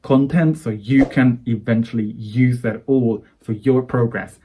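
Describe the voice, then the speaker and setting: A man's voice: medium pitch, measured pace, warm tone, a little hiss or hum. A man from Hungary, a native Hungarian speaker with a Hungarian accent, giving an earnest, persuasive English-coaching lesson to camera. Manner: earnest, persuasive, builds lists of phrases.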